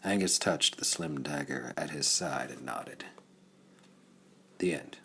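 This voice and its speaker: A man narrating a pulp science fiction story as a dramatic audiobook, with a husky voice. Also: extremely low pitch, slow, pushed and bright, slight background noise.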